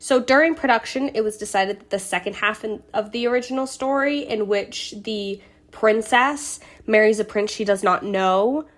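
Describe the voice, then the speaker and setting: A woman's voice: mid-range voice, normal speed, few pauses. A woman hosting her own video channel, getting over a cold, talking through film history in a chatty, earnest way with small fillers and restarts. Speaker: YouTube host. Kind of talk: casual vlog narration of film history.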